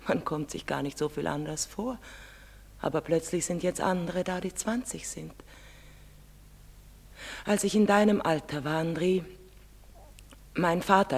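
A proud, sorrowful mother in a stage drama, with a very low and somewhat husky voice. She speaks with solemn, measured emphasis, and a long hall reverb carries every phrase. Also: a little mellow. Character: proud, sorrowful middle-aged foreign mother, secretive and intense. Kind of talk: German stage-drama acting.

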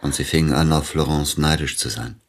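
Worldly male musician, radio play, bottom-deep voice, rough husky tone, terse, dry, questioning. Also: a little fast. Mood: probing. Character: celebrated male pianist, dryly questioning his confidante. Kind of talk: German radio play dialogue.

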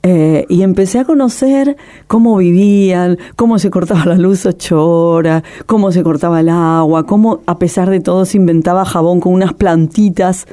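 A woman's voice: fairly low pitch, normal speed, warm tone. A middle-aged woman filmmaker telling her story in an interview, passionate and emotional, often restarting her sentences. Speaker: documentary filmmaker, guest.

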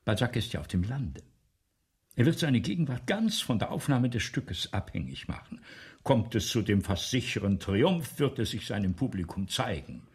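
A vain, self-dramatizing man of letters from the nineteenth century. His voice is very breathy and raspy and sits a little low, with big swings in pitch. He holds forth pompously and theatrically, in the manner of a seventies radio drama. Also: very animated, moderate pace, full character voice. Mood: scheming, bossy. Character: vain, excitable, self-dramatizing 19th-century male playwright. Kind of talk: German radio play acting, 1970s.

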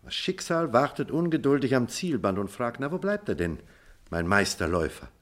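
A man's voice: medium pitch, sing-song, moderate pace. An actor playing a melancholy, wry writer in a drama, laconic and dry, reflective before his old teacher. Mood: bitter, reflective. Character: melancholy, wry male writer, former pupil of the schoolmaster. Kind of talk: theatrical radio play dialogue.

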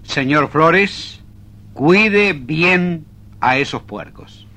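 An actor playing an officious department chief in a comic radio drama, speaking in formal, measured dictation. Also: male voice, on the high side, expressive, bright, forceful tone, full character voice. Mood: stern. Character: officious male tariff department chief, bored bureaucrat. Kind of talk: 1970s-80s comic radio drama acting.

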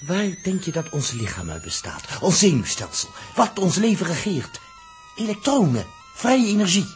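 An actor playing an excitable, irritable spaceship crewman with fervent, emphatic delivery in a 1960s Dutch radio drama. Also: high voice, moderate pace, soft background music. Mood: fervent, haunted. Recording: old 1960s radio recording.

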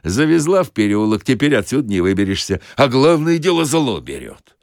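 A man performs an aggrieved bus driver for a comic audio story. His pitch swings up in exasperation as he grumbles and curses in folksy speech, sentimental about machines he has loved. The recording is close and dry. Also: big pitch swings, fairly quick, dry recording. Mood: bitter, exasperated.

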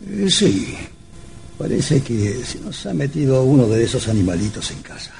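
A man's voice: mid-range voice, big pitch swings, normal speed, vocal fry, a little mellow, a little hiss or hum. An actor playing an elderly, fatherly but secretive host in an old radio drama, speaking hesitantly. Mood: fatherly, evasive.

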